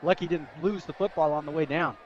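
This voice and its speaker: A man's voice: on the high side, fast talker, slight background noise. An American man giving conversational color commentary on a live radio football broadcast. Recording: dull lo-fi outdoor radio broadcast.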